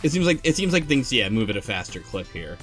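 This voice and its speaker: Animated man chatting casually. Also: medium pitch, quick pace, faint music behind. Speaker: podcast co-host. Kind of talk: casual chat.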